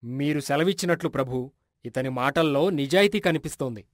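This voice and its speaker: A man's voice: fairly high pitch, fairly quick, dry recording, studio quality, lightly acted. A man playing a loyal royal minister in a moral story, formal, deferential and courteously pleading.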